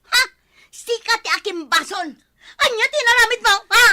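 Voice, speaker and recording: A woman's voice: very high voice, moderate pace, pushed and bright, heavily acted. A woman playing a boastful, hot-tempered village wife in a comedy radio drama, theatrical and whiny, with an Ilocano accent. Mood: outraged, wailing.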